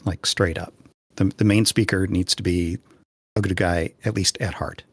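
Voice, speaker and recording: A man talking casually on a discussion panel, earnest and halting as he searches for his words. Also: low-pitched, fairly even intonation, slightly rough, no echo. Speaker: podcast panelist.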